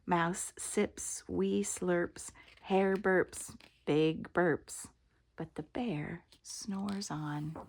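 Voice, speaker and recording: An older woman reads a children's picture book aloud with expression. Her voice is fairly low and husky, with plenty of creak. She takes the story slowly. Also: fairly even intonation.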